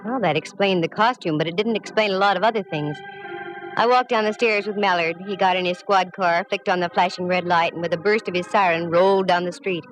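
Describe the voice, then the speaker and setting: A woman's voice: on the low side, steady tone, a little fast, lightly acted, poor audio. An actress playing a wry, breezy woman private eye in 1940s radio detective style. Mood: dramatic narration. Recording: vintage 1949 radio recording, music behind.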